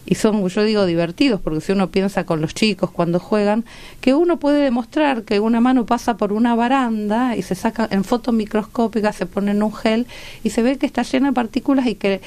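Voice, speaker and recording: A woman's voice: fairly high pitch, brisk, a little mellow. A woman with an Argentine Rioplatense accent, a guest doctor explaining health advice conversationally in a radio interview, often checking in with short tag questions. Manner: didactic, checks in with rhetorical tags. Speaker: guest doctor and medical researcher.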